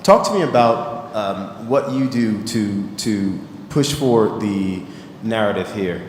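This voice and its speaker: A man moderating a conference panel in a casual, joking way, with halting questions. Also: lowish voice, expressive. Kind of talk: panel discussion. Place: large conference hall on stage. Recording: echoey hall with faint noise.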